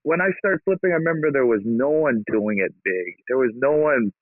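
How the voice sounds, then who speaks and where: A man's voice: mid-range voice, fairly quick, no room sound. A man from Hawaii, a real estate investor guest, talking casually and upbeat with frequent laughs over a call. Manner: upbeat, laughs often, energetic. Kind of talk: casual interview talk. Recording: tinny phone-like line, dry.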